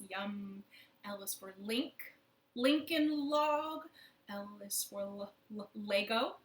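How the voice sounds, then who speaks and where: A woman's voice: on the high side, fairly bright tone, roomy sound. A woman leading a children's storytime from her living room, playful and sing-song with rhymes and silly voices.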